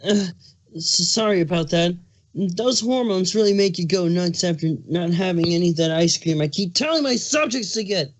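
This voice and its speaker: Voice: mid-range voice, moderate pace, lightly acted. Pregnant male changeling king, acted by a fan reader: hormonal, exasperated, emphatic, foul-mouthed cartoon monarch. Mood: sheepish. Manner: ranting, exasperated, emphatic swearing. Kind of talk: fan fiction dramatic reading, cartoon characters.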